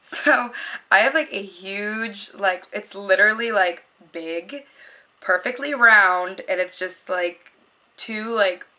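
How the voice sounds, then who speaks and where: A young woman chatting casually to the camera in a home vlog, rambling with lots of likes and ums in a low voice. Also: takes it slowly, pushed and bright. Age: young adult. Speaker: beauty vlogger.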